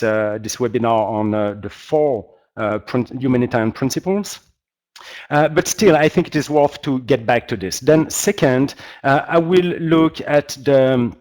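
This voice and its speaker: A man's voice: medium pitch, darkish tone. A man with a strong French accent giving an online expert presentation, politely and with frequent hesitations. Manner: hesitant, searching for words, polite. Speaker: guest expert, humanitarian practitioner. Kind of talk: webinar presentation.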